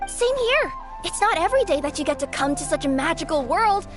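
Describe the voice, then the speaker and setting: Baby dragon, video game, innocent, eager, very high voice, clear music backing. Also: female voice, sing-song, a little fast. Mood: earnest. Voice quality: childlike. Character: small, innocent, childlike young dragon, earnest and eager. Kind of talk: video game character acting. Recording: music behind the voice.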